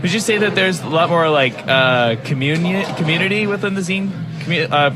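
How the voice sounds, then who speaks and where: A man's voice: fairly high pitch, a little fast, music in the background. A man with an American accent hosting a casual podcast interview, talking in an informal, rambling way. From United States. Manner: rambling, heavy use of "like", informal.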